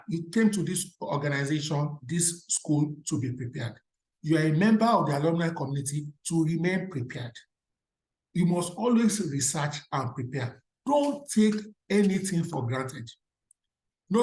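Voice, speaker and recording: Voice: medium pitch, a little mellow. A speaker with a Nigerian accent, a native Yoruba speaker, giving a motivational career talk to alumni. The delivery is emphatic, and the speaker often throws rhetorical questions at the audience. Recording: roomy echo.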